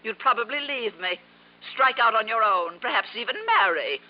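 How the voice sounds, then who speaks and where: A woman acting an imperious high-voiced elderly rich aunt. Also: lively intonation, normal speed, close to an everyday voice. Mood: haughty, cutting. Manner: haughty, commanding, theatrical. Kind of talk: 1950s radio drama acting. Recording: dull, noisy vintage radio recording.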